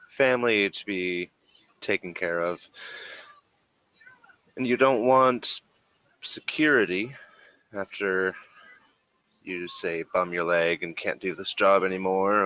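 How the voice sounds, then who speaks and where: A man voicing a persuasive, condescending corporate agent in a tabletop role-playing game, with measured, probing speech. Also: fairly low pitch, sharp tone, no echo.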